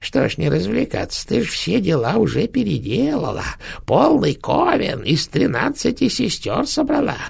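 A rotting old witch grandmother, as a male narrator puts her on, her voice rattling like old tin and thick with gravel. She sneers and scolds, her high voice swinging wildly up and down. It comes out husky and hoarse, spiteful from the grave. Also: huge pitch swings, moderate pace, hardly any pauses, heavily acted. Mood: mocking. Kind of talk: audiobook narration.

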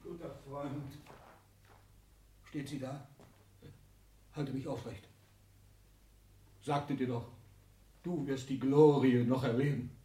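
An older actor playing a triumphant, failing old tycoon and patriarch in a theatrical, exclamatory 1960s German radio play style. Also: male voice, medium pitch, fairly even intonation, slow, long pauses, recorded from a distance, close to an everyday voice. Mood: weakened, gloating. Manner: theatrical, exclamatory, swinging from shouts to gasping murmurs. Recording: roomy 1960s radio studio, off mic.

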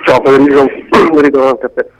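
A man's voice: mid-range voice, steady tone, quick pace, low-quality recording. A man giving an informal interview, explaining reflectively and often circling back to rephrase. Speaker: interviewed documentary co-director.

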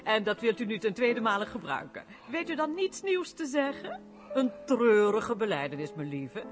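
A woman's voice: a little high, very animated, normal speed, over music. A woman playing a witty, mocking countess in a radio play, with an arch, theatrical delivery. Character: witty, mocking countess, teasing wife and sister.

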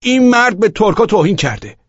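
Man acting a hot-headed young man, shouting high. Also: lively intonation, slightly rough. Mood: shouting, outraged. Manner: shouting, indignant, accusing. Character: hot-headed indignant young Turkish man, loud street accuser. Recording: roomy echo, dull lo-fi audio.

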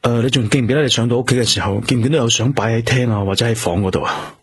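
An actor playing a male police detective in a radio drama, speaking Hong Kong Cantonese, calm and patient as he questions a witness. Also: mid-range voice, very fast, husky.

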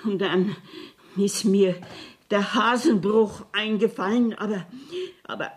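Actress as halting, distraught woman confessing slowly. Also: mid-range voice, close to an everyday voice. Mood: anguished, halting. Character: distraught woman confessing to police, cat owner. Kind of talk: 1970s German radio crime drama.

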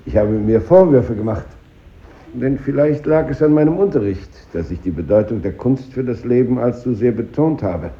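An actor playing a pompous, ironic grammar-school teacher who lectures his class in measured rhetoric, in 1950s radio drama style. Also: medium pitch, lively intonation, natural voice. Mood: ironic, reproachful. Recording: muffled old radio recording, echoey room.

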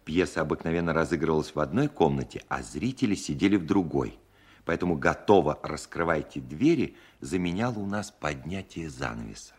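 Narrator: reminiscing grown man, radio play, husky low voice, varied intonation. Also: animated, fairly quick, darkish tone, heavily acted. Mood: reflective. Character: grown man reminiscing about his childhood. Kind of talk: scripted autobiographical narration in a 1970s radio play.

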